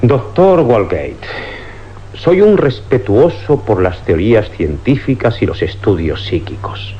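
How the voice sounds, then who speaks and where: Stern police inspector, wide swooping delivery. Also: male voice, on the low side, very animated, measured pace. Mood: stern, skeptical. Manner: formal, authoritative, theatrical. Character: stern, skeptical police inspector, adult man. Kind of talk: old radio drama acting. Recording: dull radio recording under loud music.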